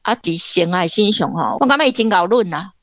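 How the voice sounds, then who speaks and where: A woman's voice: mid-range voice, expressive, quick pace. A woman with a Taiwanese accent giving conversational literary commentary in an explanatory way, like a guest academic.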